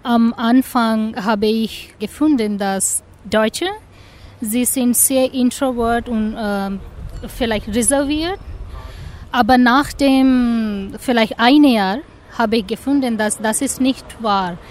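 Slow-speaking woman. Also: on the high side, a little mellow. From India. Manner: careful, halting non-native German. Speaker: interviewee. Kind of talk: casual interview answers.

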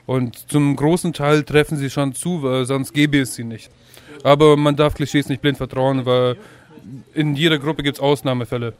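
A man's voice: mid-range voice, fairly even intonation, normal speed. A man answering interview questions in a blunt, matter-of-fact way.

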